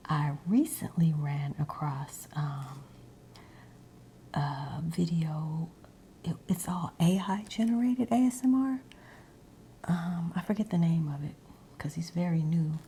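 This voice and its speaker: A woman ASMR creator rambling in a breathy whisper mixed with soft, hushed speech, meandering as she goes. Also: deep voice, a little hiss or hum.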